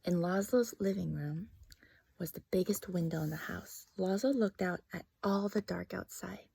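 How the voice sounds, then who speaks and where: A woman reading a story aloud to young children as a teacher, often dropping into hushed, breathy asides. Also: on the low side, normal speed, slight background noise. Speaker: first grade teacher reading a picture book aloud.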